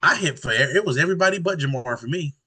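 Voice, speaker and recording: A man's voice: a little high, expressive, quick pace, sharp tone, husky. A man in casual, joking podcast chat. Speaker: podcast co-host.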